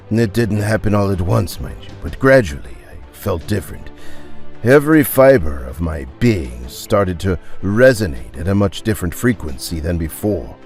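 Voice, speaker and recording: A man voicing a tall, half-ghost former scientist who speaks in a measured, courteous and reflective way, as in an audio story. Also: low voice, darkish tone, voice pushed down, exaggerated voice.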